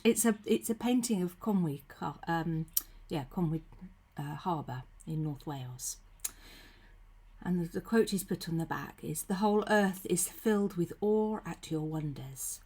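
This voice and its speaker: Woman with a cold, husky croaky voice, lowish, chatty devotional to her webcam, lots of ums. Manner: gentle, chatty, with frequent ums and soft asides. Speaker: faith leader giving a short devotional. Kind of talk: casual devotional talk to camera. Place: her own room at home. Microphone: computer webcam.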